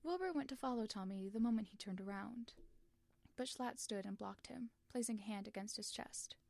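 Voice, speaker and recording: A woman's voice: on the high side, moderate pace, vocal fry, a little mellow, no room sound. A woman narrating fan fiction expressively from a script, as in an audiobook.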